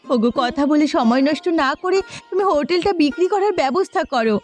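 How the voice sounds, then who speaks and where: A woman's voice: high voice, lively intonation, a little fast, a little mellow. A woman voicing an anguished village mother in a melodramatic cartoon, pleading urgently. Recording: music behind the voice.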